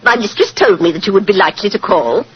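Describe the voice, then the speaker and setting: A woman's voice: medium pitch, expressive, fast, close to an everyday voice. A woman playing a tart, knowing house servant, pointed and formal, in a 1940s radio drama. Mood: smug. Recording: noisy, dull vintage radio recording.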